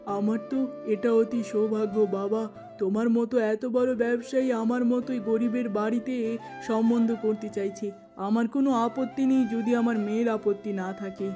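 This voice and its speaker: A woman voicing a gentle, humble poor village father. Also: a little high, fairly even intonation, normal speed, music in the background, lightly acted. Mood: grateful.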